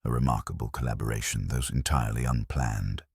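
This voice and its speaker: Very deep, composed male police inspector, read by a man. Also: fairly even intonation, husky, no room sound, full character voice. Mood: dryly summing up. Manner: dry, formal, deliberate. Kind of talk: audiobook mystery character dialogue.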